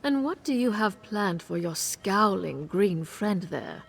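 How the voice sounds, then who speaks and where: A cold, haughty noblewoman in a video game, speaking with imperious condescension at a middle pitch. She sounds polished and sure of her own rank. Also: animated, slow pace, vocal fry, slightly rough, full character voice. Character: haughty noblewoman who hosts cruel hunts.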